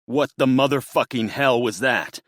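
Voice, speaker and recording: A man's voice: low-pitched, expressive, unhurried, fairly bright tone, no room sound, clean studio sound. A man voicing a furious ex-Marine father holding back his rage, clipped and tense.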